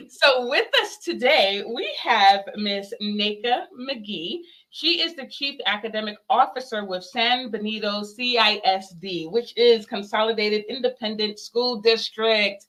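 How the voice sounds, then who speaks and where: A woman from Barbados hosting a live online interview, upbeat and quick to laugh. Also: on the high side, a bit bright, poor audio. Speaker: podcast host.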